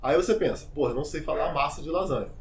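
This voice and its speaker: A man's voice: on the high side, quick pace, a little hiss or hum. A young man, teaching English in a casual, informal vlog full of fillers and asides. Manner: lively, addresses viewers directly.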